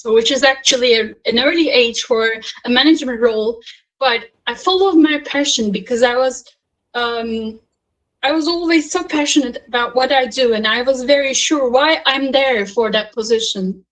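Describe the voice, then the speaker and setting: A woman's voice: sits high, low-quality recording. A woman in her thirties from Turkey, speaking on a panel over a video call and telling her career story earnestly, with many fillers and restarts. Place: home or office in Istanbul.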